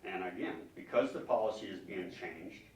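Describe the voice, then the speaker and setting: A man speaking as a concerned citizen at a public meeting, arguing emphatically and haltingly, often repeating words as he questions officials. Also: deep voice, a little hiss or hum. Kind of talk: public comment, argumentative questioning. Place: public meeting room, indoors. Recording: echoey room, distant microphone.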